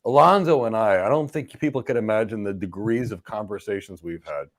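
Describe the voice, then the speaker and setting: Low voiced host guy, touched and grateful, soft-spoken thanks. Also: fairly quick. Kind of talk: live stream talk.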